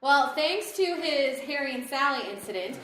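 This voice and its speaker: A woman's voice: high voice, expressive, moderate pace, fairly bright tone, a little hiss or hum. A woman performing an exasperated, bossy cast member keeping order in live comedy banter. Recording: roomy live recording.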